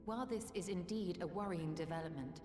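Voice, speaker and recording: Game role: woman as calm female strategist, formal and serious, voice ringing with long reverb over music. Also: on the low side, brisk, natural voice. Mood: concerned. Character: composed, analytical female scholar-strategist in a fantasy game. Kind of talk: video game cutscene voice acting. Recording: echoey hall reverb under music.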